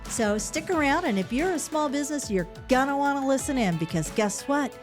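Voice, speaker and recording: A woman's voice: high voice, expressive, fairly quick, soft background music. A woman hosting a podcast interview, chatting in an effusive, enthusiastic way. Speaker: podcast host. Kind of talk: casual interview chat.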